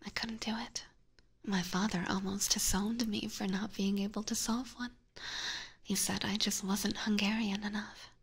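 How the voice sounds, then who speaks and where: A woman's voice: mid-range voice, little pitch movement, slightly rough. A woman voicing a seductive, teasing vampire catgirl in an ASMR roleplay, whispering breathily and coaxingly right up close to a binaural microphone. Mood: playful, teasing. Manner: slow, coaxing, playfully menacing. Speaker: streamer playing a character for live chat.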